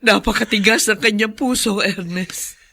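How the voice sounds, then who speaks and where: Remorseful middle-aged mother, mid-pitched, gentle and pleading, radio drama style. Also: normal speed, creaky, slightly rough, full character voice. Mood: remorseful, earnest. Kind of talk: Filipino radio-style melodrama acting.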